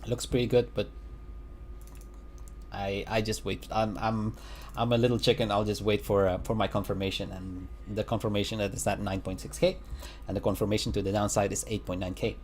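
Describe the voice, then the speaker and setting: Man giving halting chart commentary. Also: lowish voice, flat delivery, slight background noise. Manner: halting, many fillers and restarts; chuckles at own remarks. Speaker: crypto market analyst. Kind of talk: casual chart analysis commentary. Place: home.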